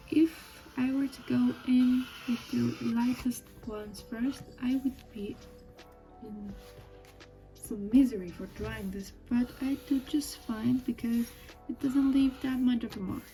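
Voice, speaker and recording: A young woman with a Persian accent chatting softly while she draws, thinking aloud as she goes. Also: a little high, takes it slowly, rough recording. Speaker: drawing video creator. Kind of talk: casual chat while drawing. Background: faint music and marker scratching.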